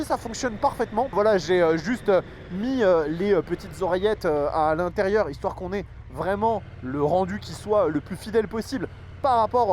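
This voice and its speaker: High-voiced man vlogging from a motorbike. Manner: chatty, many filler hesitations. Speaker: motorcycle vlogger reviewing gear. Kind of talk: casual product review while riding. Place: outdoors on a motorcycle in traffic. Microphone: helmet-mounted microphone. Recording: noisy outdoor road recording.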